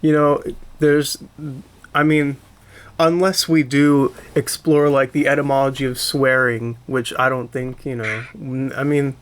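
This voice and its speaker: A man's voice: medium pitch, little pitch movement, a little slow. A man hosting a podcast, talking casually as he interviews his guest. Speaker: podcast host.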